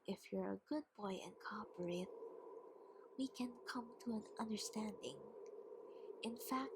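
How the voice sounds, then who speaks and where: A woman's voice: medium pitch, gravelly, heavy background noise. A woman playing a haughty, teasing vampire queen in an ASMR roleplay, often half-whispering in a taunting, mock-polite way. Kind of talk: ASMR roleplay, scripted monologue.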